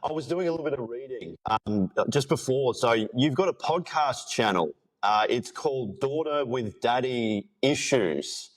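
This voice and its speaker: A man's voice: mid-range voice, animated, moderate pace, hardly any pauses, low-quality recording. A man hosting a podcast, friendly and welcoming as he asks his guest questions. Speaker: podcast host. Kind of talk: interview questions.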